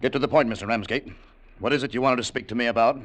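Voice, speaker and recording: A man's voice: mid-range voice, very fast, lightly acted. An actor playing a panicky, cornered male embezzler in old radio drama style, nervous, stammering and pleading. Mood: tense, impatient. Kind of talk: 1940s-50s radio drama acting. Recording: faintly noisy vintage radio recording.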